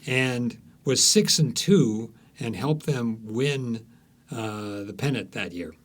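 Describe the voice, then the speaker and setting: Interview guest, male author, average pitch, anecdotal and conversational, halting yet emphatic delivery. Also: expressive.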